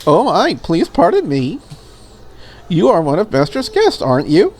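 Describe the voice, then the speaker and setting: A man's voice: high-pitched, huge pitch swings, measured pace, a little mellow, full character voice. A man voicing a polite, curious, slightly lonely maid in a visual novel, with formal and prim phrasing.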